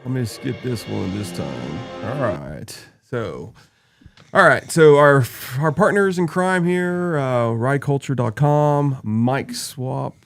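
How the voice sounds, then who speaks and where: A man's voice: mid-range voice, slow pace, gravelly, soft background music. A man running a live podcast stream in easy, casual fashion. He jokes around and drifts off into rambling asides while he sets up the show. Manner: loose and joking, rambling asides. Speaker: podcast host.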